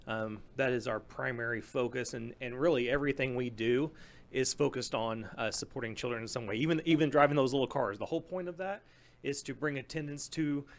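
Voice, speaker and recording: Earnest man, mid-pitched voice. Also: brisk. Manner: earnest, repeats words when starting sentences. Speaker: charity club member guest. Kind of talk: radio interview chat.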